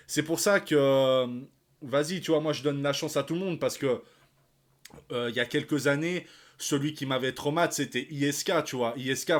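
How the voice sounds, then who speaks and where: A man in his thirties giving casual reaction commentary, talking in slang with lots of sighs, fillers and restarts. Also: mid-range voice. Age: 30s. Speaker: reaction channel host.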